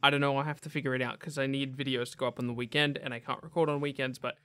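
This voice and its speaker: A man's voice: mid-range voice, level tone, rapid-fire, a bit bright, smooth. A young man giving casual, enthusiastic, chatty video game commentary with emphatic delivery. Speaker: gaming video host.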